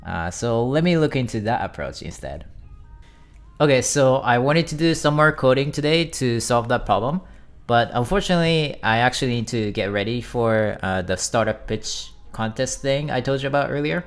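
A man's voice: medium pitch, expressive, moderate pace, soft background music. A young man from Japan talking casually as he vlogs, thinking aloud with many fillers. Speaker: YouTube developer vlogger. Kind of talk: casual vlog narration. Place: home desk.

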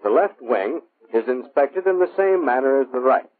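A man's voice: mid-range voice, animated, normal speed. Muffled vintage American male instructional narrator. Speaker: training film narrator. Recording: muffled, phone-like vintage military film soundtrack.

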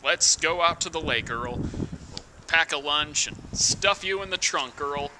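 A young man giving a mock-serious dramatic reading of song lyrics, deliberate and theatrical, with pauses. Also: on the high side, noisy background. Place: outdoors in snowy woods.

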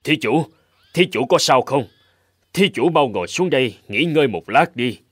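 A kindly, upright monk from a cartoon folk tale. His mid-pitched voice moves expressively as he speaks with formal, earnest courtesy. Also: lively intonation, normal speed, fairly bright tone, husky, no echo. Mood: caring. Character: kindly, upright adult Buddhist monk, easily flustered. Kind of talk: Vietnamese animated folk tale dubbing.

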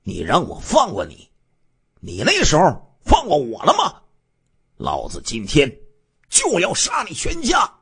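Man doing a cold angry killer type, snaps and sneers, husky edge. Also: fairly high pitch, wildly swooping pitch, a little slow, pauses often, pitched above the performer's own voice, full character voice. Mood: cold, scornful. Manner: harsh, contemptuous, shouting. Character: vengeful grim young man with a gun.

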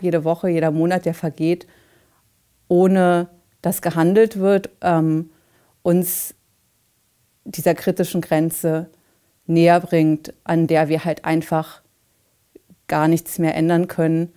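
A woman in her forties speaking in a recorded interview, measured and halting, with frequent fillers. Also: deep voice, warm tone. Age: 40s. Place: dim indoor set.

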